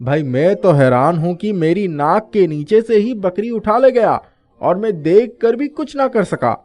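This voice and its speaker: A man acts out an agitated villager from a folk tale. His voice sits high for a man, and he exclaims his lines in storybook cartoon fashion. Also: animated, measured pace, a little mellow. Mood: indignant. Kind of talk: animated moral story dubbing.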